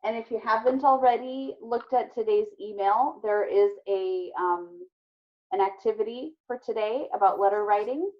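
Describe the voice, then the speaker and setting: A woman's voice: mid-range voice, normal speed. A woman teacher leading an online class discussion with children in an encouraging, affirming manner. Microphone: video call. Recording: dull, lo-fi video call sound.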